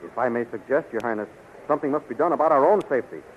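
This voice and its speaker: An actor playing a dutiful, worried military captain, earnest and deferential, in 1930s radio serial style. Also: male voice, mid-range voice, very fast, narrow, tinny audio, lightly acted. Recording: thin, noisy 1930s radio recording.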